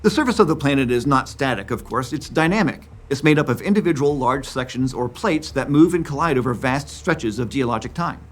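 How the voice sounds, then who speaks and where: A man in his fifties giving scripted, clear documentary narration about science. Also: medium pitch, animated, brisk, hardly any pauses. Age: 50s. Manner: clear, explanatory.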